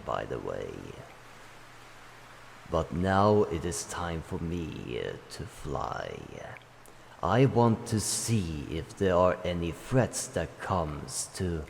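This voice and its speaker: A man voicing an ancient dragon guardian, solemn, calm and kindly, speaking slowly in formal, measured phrases in a roleplay addressed to the listener. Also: exaggerated voice. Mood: solemn, resolute. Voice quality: deep, gravelly. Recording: long cavernous reverb, faint background noise.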